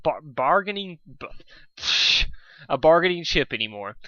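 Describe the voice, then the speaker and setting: A man's voice: on the high side, very animated, normal speed, sharp tone, no echo, highs cut off, pitched above the performer's own voice. A man voicing an earnest, determined young male unicorn pony in a storybook reading, insistent and a little flustered. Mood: uncertain resolve.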